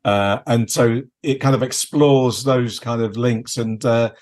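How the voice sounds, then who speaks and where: A man's voice: mid-range voice, steady tone, a little slow, a little mellow. A man chatting casually as a podcast co-host, hesitant and meandering as he searches for words. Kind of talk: casual chat about films.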